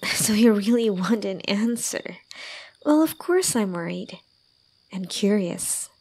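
A voice actress as a doting young woman soothing her lover in an intimate ASMR roleplay. Her voice is soft and breathy, often sinking toward a whisper. She speaks slowly, with gentle teasing and care. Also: mid-range voice, animated, heavily acted. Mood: tender teasing. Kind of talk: ASMR roleplay, intimate one-sided dialogue.

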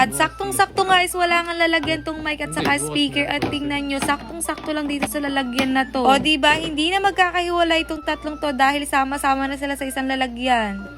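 A woman with a Filipino accent giving a casual, chatty voice-over as a vlogger reviewing products, with exclamations sprinkled in. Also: high voice. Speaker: vlogger showing parcels she received. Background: music.

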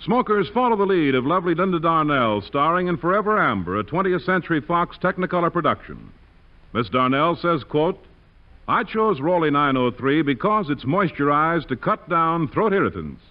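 A man's voice: on the high side, big pitch swings, normal speed. A man working as a commercial announcer, reading an emphatic 1940s radio advertisement. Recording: muffled 1940s radio recording.

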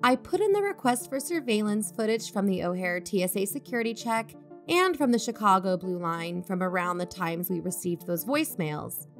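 A woman's voice: mid-range voice, animated, moderate pace, soft background music, close to an everyday voice. Woman acting as cautious, analytical investigative podcaster. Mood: sober narrating. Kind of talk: audio drama dialogue and narration.

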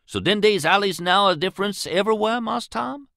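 A man's voice: sits high, fairly quick, gravelly, sharp tone, no echo, studio quality, voice pushed up, heavily acted. A man voicing an earnest, pious older male riverman with a drawl, pleading and questioning. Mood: bewildered.

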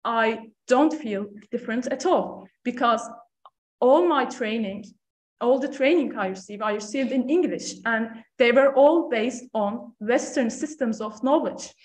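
A woman, giving a measured, earnest talk as a panel speaker. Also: on the high side. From Turkey. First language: Turkish. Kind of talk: prepared talk at a panel event. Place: lecture room on stage, seated panel. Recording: echoey lecture room.

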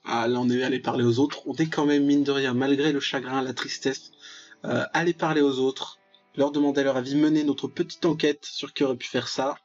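A man's voice: mid-range voice, flat delivery, fairly quick, dull audio, faint music behind. A young man giving casual, slangy let's play commentary, with mock-dramatic, exclamatory reactions. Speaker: gaming video host.

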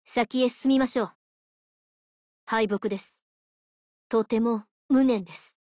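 A woman's voice: fairly high pitch, mellow, dry recording, studio quality. A woman voicing a stern but caring female judge of the underworld for a Japanese mobile game, formal, polite and given to lecturing. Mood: solemn. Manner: formal, polite, measured, preachy. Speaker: voice actress.

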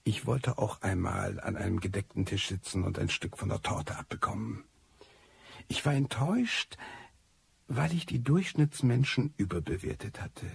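An actor playing a disillusioned, cynical recluse who tells his life story in a radio drama, wry and bitter, with a husky, gravelly voice. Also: lowish voice, lively intonation, normal speed, no room sound, full character voice. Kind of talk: radio drama first-person monologue.

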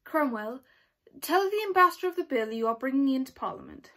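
A young woman acting an imperious, vengeful queen in a dramatic monologue, haughty and commanding with deliberate pauses. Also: sits high, very animated, a bit bright, heavily acted. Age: teenager or young adult. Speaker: student performer. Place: small plain indoor room.

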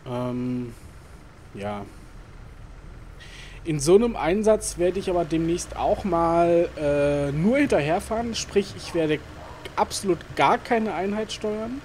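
A man talks his way through a game in a loose, rambling let's-play style. His fairly high voice is full of fillers and asides muttered to himself. A faint noise sits under the recording. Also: lively intonation, takes it slowly, a little mellow. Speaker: gaming YouTuber. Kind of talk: casual let's-play commentary.

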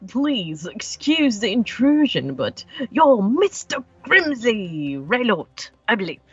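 A woman's voice: fairly high pitch, very animated, slow pace, slightly rough, no room sound, exaggerated voice. A woman voicing a pompous, self-important male detective in a video game, grandiose and theatrical in wordy, polite phrases. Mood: boastful. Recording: muffled lo-fi voice track.

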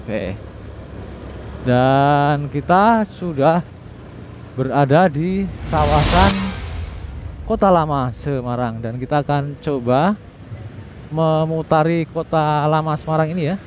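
A man giving casual, unhurried riding commentary as a motovlogger, with little asides to himself and his viewers. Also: a little high. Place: outdoors, riding a motorbike through city streets. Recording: dull lo-fi audio with clear traffic noise. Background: vehicle engines and street traffic noise.

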